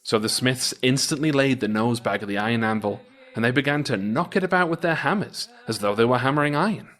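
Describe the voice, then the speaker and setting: A man narrating a folktale as storyteller in a dramatic, theatrical and expressive manner. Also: medium pitch, fast. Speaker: storyteller, podcast host.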